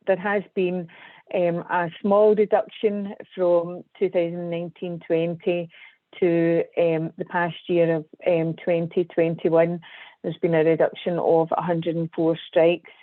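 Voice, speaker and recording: A woman with a Scottish accent, presenting a webinar as an engineer, measured and full of ums. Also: lowish voice, flat delivery, no echo. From Scotland. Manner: measured, hesitant with frequent ums. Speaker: guest speaker, civil engineer. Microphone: video call. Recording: tinny dry online call audio.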